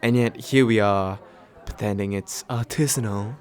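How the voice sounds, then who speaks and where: A man playing a tender, nervous, attentive date in a soft, intimate ASMR roleplay, halting and gently self-deprecating with small stammers. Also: on the low side, fairly even intonation, natural voice. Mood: warm, nervous. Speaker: voice actor in an audio roleplay.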